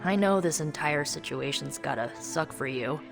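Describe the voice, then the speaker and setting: A young American heroine in a video game, a sorceress who is wry, chatty and friendly. Her voice sits at a middling to slightly low female pitch, with music playing behind her casual, teasing talk. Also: lively intonation, normal speed, gravelly. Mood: confiding. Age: young adult. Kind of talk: video game voice acting, conversational. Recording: background music under the dialogue.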